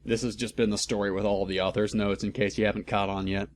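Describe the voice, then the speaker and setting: A man reading a story aloud for comedy, deadpan and mocking, with sarcastic asides. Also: lowish voice, fast talker. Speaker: host of a fan fiction reading channel.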